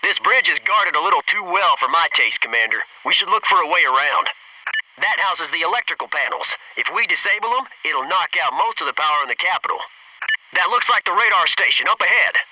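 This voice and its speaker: An actor playing an alert, loyal Navy SEAL squad soldier in a military shooter game, giving clipped reports and dry remarks. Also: male voice, on the high side, animated, brisk, recorded from a distance, noisy background, close to an everyday voice. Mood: focused, businesslike.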